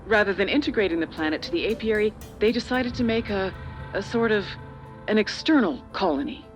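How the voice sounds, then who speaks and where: A woman's voice: mid-range voice, animated, soft background music, lightly acted. A middle-aged woman playing a calculating, slightly condescending corporate official and parent in a dramatic video game. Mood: measured, evasive. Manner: measured, persuasive, slightly condescending. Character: older female corporate official and parent, calculating, persuasive, patronizing.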